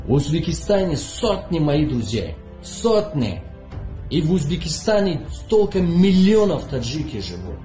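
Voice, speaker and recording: Slow, impassioned man in a roomy space. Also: on the high side, sing-song, mellow, faint music behind. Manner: impassioned, deliberate appeal. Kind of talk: video statement. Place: indoor room.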